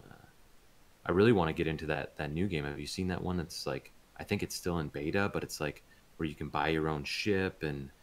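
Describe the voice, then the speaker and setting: Male interview guest, extremely low voice, laid-back, halting conversational delivery. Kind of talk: casual chat.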